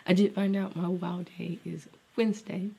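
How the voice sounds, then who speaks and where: A woman's voice: lowish voice, little pitch movement, normal speed, gravelly, warm tone, breathy edge. A young woman, a teacher, talking casually to camera in a rambling, think-aloud way, sounding congested from a cold. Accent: Southern US. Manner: rambling, thinking aloud, drops to near-whisper. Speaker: special education teacher and vlogger. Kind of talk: casual vlog talk to camera.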